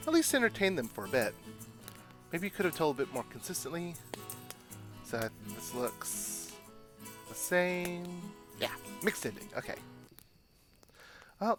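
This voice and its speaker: A man's voice: fairly high pitch, a bit bright. A man streaming a game, chatting casually and reading story text aloud in a self-deprecating, chatty way. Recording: background music under voice.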